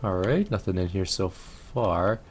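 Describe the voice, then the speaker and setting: Low-voiced man doing casual game commentary. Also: lively intonation, measured pace, a little hiss or hum. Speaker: gaming video host. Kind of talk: casual let's play commentary. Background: game music and effects.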